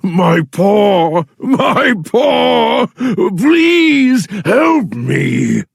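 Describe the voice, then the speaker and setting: A man voicing a wounded, desperate lion in a children's readers theatre, with loud, drawn-out, pleading cries. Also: high-pitched, big pitch swings, vocal fry, dry recording, pitched above the performer's own voice, full character voice. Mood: pained, pleading.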